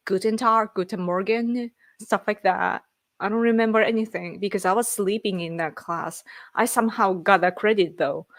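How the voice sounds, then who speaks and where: A woman from Japan with a Japanese accent, casually chatting on a live stream and reading viewer comments as she rambles and laughs. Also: mid-range voice. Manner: rambling, reads chat aloud, laughs often. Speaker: livestream host.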